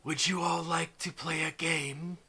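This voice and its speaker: Male game master as a mechanical warrior, tabletop RPG, measured and courteous, bright tone. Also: on the high side, steady tone, vocal fry, breathy edge, exaggerated voice. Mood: measured, inviting. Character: menacing mechanical robot man offering protection and games.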